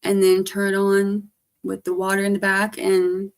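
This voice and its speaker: A hoarse woman with a gravelly edge, talking at a middling pitch. She rambles casually to her stream audience, letting her sentences trail off softly. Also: monotone, moderate pace. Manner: rambling, trailing off, soft-spoken. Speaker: livestreamer. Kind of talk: casual livestream chat.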